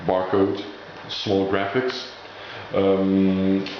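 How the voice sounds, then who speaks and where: Guy with a lowish voice explaining a product, searches for words, super echoey room, muffled sound. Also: monotone, drawn-out words. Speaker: product presenter explaining label machinery. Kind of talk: informal product demonstration. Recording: echoey dull room recording, faint noise.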